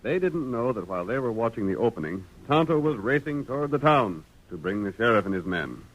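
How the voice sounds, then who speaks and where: A man's voice: medium pitch, sing-song, fairly quick, a bit bright, poor audio. An American man narrating a 1930s radio drama in scripted storytelling style.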